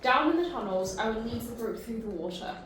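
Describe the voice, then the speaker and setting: A woman's voice: on the high side, animated, brisk, heavy reverb, noisy background. A woman reading narration and stage directions at a table read.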